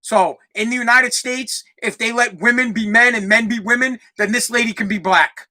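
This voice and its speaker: A brash young man with a Boston accent, his voice high for a man and piercingly bright. He rants hard into a desk mic, swearing freely as he hosts his solo podcast. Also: steady tone, normal speed. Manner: loud, profane, ranting. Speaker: podcast host.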